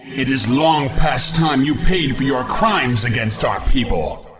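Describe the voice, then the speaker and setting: A noble alien warrior, acted by a man, declaims with righteous indignation. His voice is mid-pitched and solemn, with crowd-like sound behind it in the game. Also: lively intonation, unhurried, lightly acted. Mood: righteous anger. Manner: solemn, declamatory, archaic formality. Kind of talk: dramatic video game acting.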